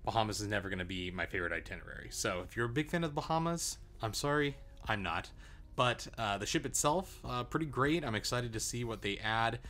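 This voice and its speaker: A young man in a relaxed, chatty travel review, talking casually with frequent "uh" and "um" fillers. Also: fairly low pitch, fairly quick. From Arizona, USA. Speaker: travel vlogger.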